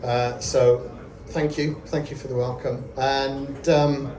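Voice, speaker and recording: A man's voice: mid-range voice, little pitch movement, slow, a little mellow. A man in his forties giving a friendly, self-deprecating lightning talk at a meetup, chatting casually with lots of fillers. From Scotland. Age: 40s. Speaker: conference speaker. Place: event venue with audience. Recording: echoey room with ambient noise.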